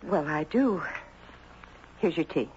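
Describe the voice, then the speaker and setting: An actress playing a gracious, melancholy mother, speaking in a hesitant, measured and dramatic way in a 1970s radio drama. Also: low-pitched, expressive, a little mellow, heavily acted. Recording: dull noisy 1970s radio broadcast.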